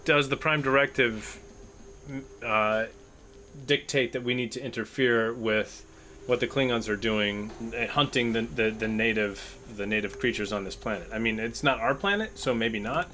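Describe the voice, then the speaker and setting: A man's voice: mid-range voice, moderate pace, pushed and bright, slight background noise. A man playing in a tabletop roleplaying show, chatting casually with the group. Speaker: player in an actual-play show. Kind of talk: casual tabletop game chat.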